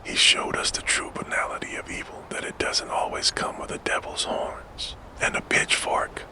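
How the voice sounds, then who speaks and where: A man telling a true crime story entirely in a hushed, breathy whisper. His delivery is slow and deliberate, meant to lull a listener toward sleep. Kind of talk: whispered ASMR narration.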